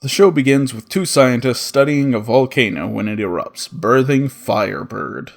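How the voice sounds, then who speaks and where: A man's voice: medium pitch, lively intonation, normal speed, creaky, soft background music. A man narrating a scripted comedic cartoon review as the host, with a sarcastic, profane delivery.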